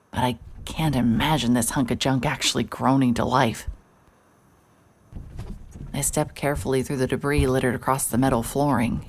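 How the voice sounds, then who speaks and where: Female PI lead for horror audio drama: very deep voice, hard-hearted, wry, guarded. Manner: wry, descriptive, halting when cornered. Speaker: narrator and lead character. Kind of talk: first-person noir narration and audio drama dialogue.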